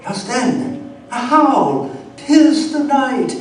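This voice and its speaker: A man's voice: extremely high pitch, animated, a little hiss or hum, heavily acted. A man playing a haunted colonial Englishman, narrating a horror tale in grave, theatrical live radio style. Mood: urgent dread. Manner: grave, theatrical, deliberate storytelling. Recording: very echoey stage, distant mic, music behind.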